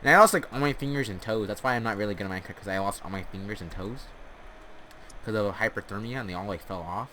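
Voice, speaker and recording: A man's voice: fairly low pitch, quick pace, pushed and bright, a little hiss or hum. A man telling comic stories casually over gameplay, rambling in a tongue-in-cheek, mock-earnest way. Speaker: host of a gaming storytelling channel.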